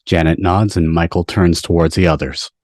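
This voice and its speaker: Deep voice reading stage directions, dry close sound, script narration. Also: fairly even intonation, normal speed, a little mellow, dry recording. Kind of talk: scripted narration at a table read. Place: online video call.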